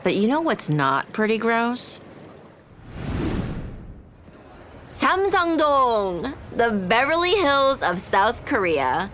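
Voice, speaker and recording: A woman's voice: on the high side, big pitch swings, slow, fairly bright tone, exaggerated voice. An actress playing a blunt, sarcastic, cool young rich woman in a romcom audio drama, with slangy delivery. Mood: sardonic, disgusted. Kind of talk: romcom podcast drama acting. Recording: clear ambient background noise.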